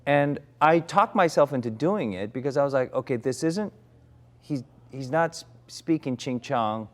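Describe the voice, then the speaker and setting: A man's voice: mid-range voice, lively intonation, normal speed. A man in his forties, an actor, reflecting candidly in conversation, often restarting his sentences. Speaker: actor, interview guest.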